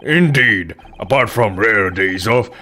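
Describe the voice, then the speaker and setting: A man's voice: lowish voice, lively intonation, takes it slowly, sharp tone, slight background noise, voice pushed down, full character voice. A man voicing a formal, pompous Victorian courtroom official in a video game, with wordy delivery. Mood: formal, grandiose.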